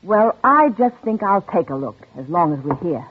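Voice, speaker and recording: An actress playing a nosy, eager hotel employee in a radio drama, brisk and conspiratorial. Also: female voice, fairly low pitch, big pitch swings. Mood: snooping. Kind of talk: old-time radio drama acting. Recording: muffled, noisy old radio recording.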